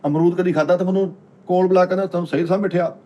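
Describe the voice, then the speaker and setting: A man with a Pakistani Punjabi accent spins comic anecdotes among friends. His voice is high and his delivery rapid, teasing as he quotes other people. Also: steady tone, mellow, dull audio. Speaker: comedy panel member. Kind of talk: casual comic storytelling.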